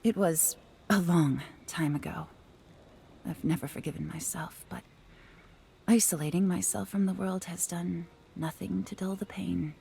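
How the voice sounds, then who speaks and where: An actress playing an ageless fox spirit in a game, speaking in a soft, breathy, wistful and measured way. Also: female voice, on the low side, steady tone, slight background noise. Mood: wistful, tender. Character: ageless female fox spirit, pensive and sorrowful. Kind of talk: cinematic game acting.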